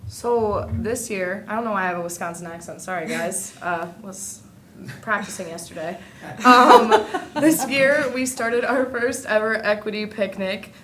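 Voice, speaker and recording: A woman's voice: medium pitch, a little slow, crowd noise. A teenage young woman presenting informally as a student club member, casual and given to asides. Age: teens. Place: indoor school board meeting room. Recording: faint room noise, public meeting.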